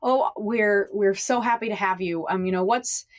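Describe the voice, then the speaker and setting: A woman's voice: mid-range voice, moderate pace. A woman hosting an interview podcast, welcoming her guest in a friendly, chatty way with a few restarts. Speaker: podcast host. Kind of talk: casual interview chat.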